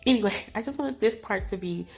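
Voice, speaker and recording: Chatty congested woman with gravelly fry. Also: medium pitch, quick pace, darkish tone. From California. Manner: chatty, apologetic, laughs often. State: congested, nasal from allergies. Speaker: crafting channel host. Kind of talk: casual craft tutorial voice-over.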